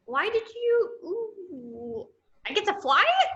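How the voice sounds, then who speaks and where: Woman playing an excitable, high-pitched young sidekick. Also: takes it slowly, exaggerated voice. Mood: amazed, excited. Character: excited, awestruck young pilot sidekick in space. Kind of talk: fan fiction table read. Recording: dull video call audio.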